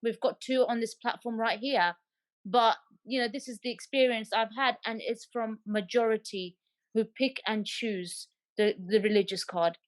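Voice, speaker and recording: A woman on a discussion panel talks from her own experience. Her voice sits high and she speaks with emphasis, repeating key phrases to drive them home. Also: fairly even intonation, moderate pace. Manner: emphatic, heartfelt, repeats for stress. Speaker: panel guest. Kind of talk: personal testimony in a panel discussion.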